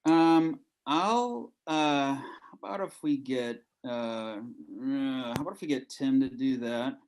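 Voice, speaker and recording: Slow, hesitant man on a call. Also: mid-range voice, a little mellow, smooth, low-quality recording. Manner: hesitant, halting. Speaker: participant.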